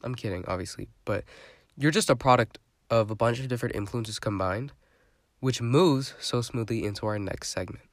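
A man's voice: mid-range voice, moderate pace, no echo, studio quality. A young man hosting his own podcast, talking in a rambling, chatty way with a stuffy, congested voice. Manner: rambling, chatty, lots of fillers. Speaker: podcast host. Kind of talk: casual solo podcast monologue.

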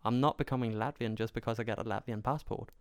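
Danish guy arguing his opinions in a chat, emphatic, smooth clear voice, really dry sound. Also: lowish voice, steady tone, quick pace, no room sound. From Denmark. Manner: emphatic, argumentative, repeats points in parallel phrases. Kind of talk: opinionated conversational discussion.

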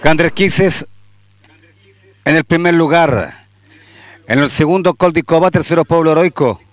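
A man with a Chilean accent calling horse races live, steady through the field and louder and higher at the finish. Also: a little slow. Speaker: horse racing announcer. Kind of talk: live race commentary.

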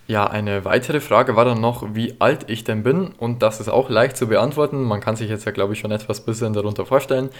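A man's voice: fairly low pitch, brisk, hardly any pauses. Young German man, casual podcast chat. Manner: relaxed and chatty, many fillers. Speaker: history podcast host. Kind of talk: casual solo podcast answering listener questions.

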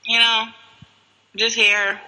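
Young woman podcast cohost, gets super excited, rambles, laughs a lot, on a video call. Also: fairly high pitch, slow pace, rough recording. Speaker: podcast co-host. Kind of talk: casual chat.

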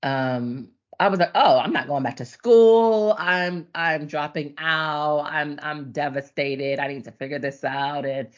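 A middle-aged woman born in Nigeria and raised in the US, telling her life story as a podcast guest in a chatty, animated way with frequent ums. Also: sits low, a little slow, hardly any pauses. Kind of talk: casual conversational storytelling. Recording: dull lo-fi audio.

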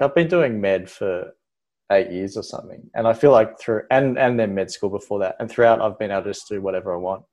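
A man in his thirties with an Australian accent, a guest talking in a relaxed, rambling way with many "like" fillers. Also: fairly low pitch. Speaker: guest, ophthalmology registrar. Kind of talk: casual interview chat.

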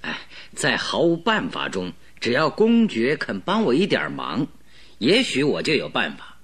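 A man's voice: mid-range voice, wildly swooping pitch, measured pace, a bit bright, slightly rough, heavily acted. A man playing a polite detective in a radio drama, courteous and feigning helplessness. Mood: mock-humble. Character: polite mock-humble male detective.

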